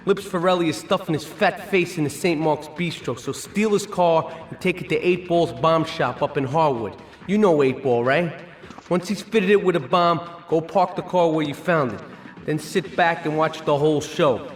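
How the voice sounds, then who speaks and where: An actor playing a cocky young mobster and mechanic, smug and casual. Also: male voice, a little high, normal speed, echoey room, big-room sound, lightly acted.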